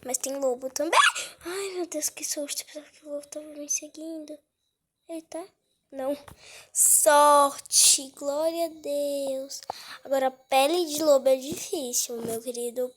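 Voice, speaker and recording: A woman's voice: high-pitched, fairly even intonation, measured pace, slight background noise. A woman with a cold, giving casual gameplay commentary; she is chatty and easily startled, and often drops to a whisper. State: has a cold. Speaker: gaming channel host.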